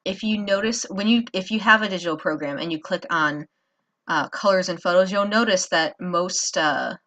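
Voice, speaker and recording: A woman's voice: fairly low pitch, moderate pace, fairly bright tone. A tired woman hosting a casual drawing tutorial, rambling with many fillers and apologizing as she works. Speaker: art tutorial host.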